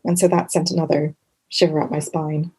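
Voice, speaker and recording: A woman's voice: low-pitched, flat delivery, a little fast, a little mellow, husky. A woman from Montreal speaking as an interview guest in a reflective, conversational way, earnest and often rephrasing mid-thought. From Montreal, Canada. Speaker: interview guest, author and journalist.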